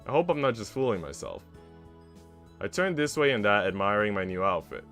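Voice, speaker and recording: A man's voice: fairly low pitch, expressive, a little fast, fairly bright tone, soft background music. A man reading the first-person narration of an earnest young heroine in a visual novel. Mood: reflective.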